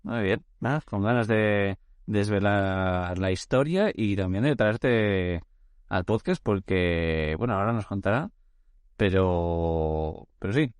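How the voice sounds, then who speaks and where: A man hosting a casual podcast interview, talking in a loose, relaxed way and often restarting his phrases. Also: low voice, clear, resonant tone, no echo, clean studio sound. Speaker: podcast host.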